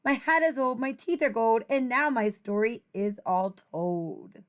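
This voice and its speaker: A woman with a high voice reads a children's picture book aloud as a teacher would. Her delivery is slow and deliberate, with an expressive, singsong feel for the rhymes.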